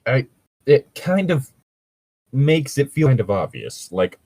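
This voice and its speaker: A man's voice: medium pitch, sing-song, slow, mellow, husky, no room sound. A man reading fan fiction aloud with casual, exasperated, sarcastic, sweary comic commentary.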